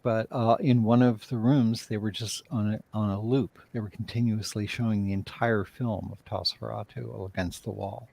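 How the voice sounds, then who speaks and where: A man chatting as an interview guest, speaking effusively in a halting, reflective way. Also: on the low side, steady tone, gravelly, darkish tone, dry recording. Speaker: writer and director, interview guest. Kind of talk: casual interview chat.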